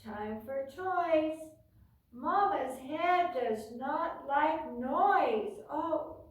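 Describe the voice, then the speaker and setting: An American woman reading a picture book aloud to young children as a storytime host, with expressive phrasing and chatty asides, recorded indoors by a camera some way off. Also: sits high, drawn-out words. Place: indoors, bare carpeted library room with painted wall. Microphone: camera several metres away. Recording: echoey room, distant camera microphone.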